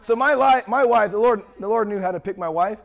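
Man, young pastor, sermon, strained voice, high pitch, loud projection. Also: fairly quick. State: strained, worn-out voice.

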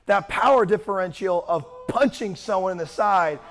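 Man, young pastor, sermon, strained voice, high pitch, loud projection. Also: normal speed, soft background music. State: strained, worn-out voice.

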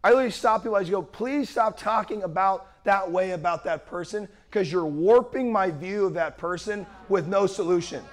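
A young man preaching a sermon with a worn-out, strained voice and a loud, projecting manner. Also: high voice, normal speed, few pauses. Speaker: pastor.

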